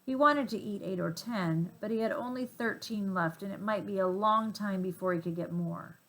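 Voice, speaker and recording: A woman reading a children's book aloud as an expressive storyteller. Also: mid-range voice, moderate pace, rough recording. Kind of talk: expressive read-aloud narration.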